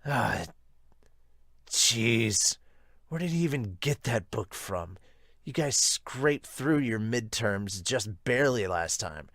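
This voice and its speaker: A man voicing a stern, exasperated high school boy grumbling at his friends in a manga dub. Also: lively intonation, takes it slowly, fairly bright tone, no echo, clean studio sound, full character voice.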